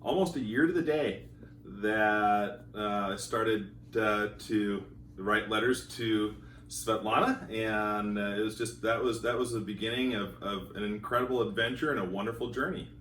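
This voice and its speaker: A man's voice: fairly low pitch, a bit bright, slight background noise. A middle-aged man, a school teacher from Montana, telling his marriage story with many fillers and restarts. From Montana, United States. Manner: halting, many fillers and repeated words. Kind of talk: casual testimonial. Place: home in California. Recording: roomy echo, voice from a distance.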